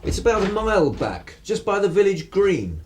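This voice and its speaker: An actor playing a cynical, irreverent 1930s English broadcaster in a radio play, with a husky voice and sardonic, theatrical turns of phrase. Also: male voice, high voice, wildly swooping pitch, normal speed, a little mellow, slight background noise. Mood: exasperated.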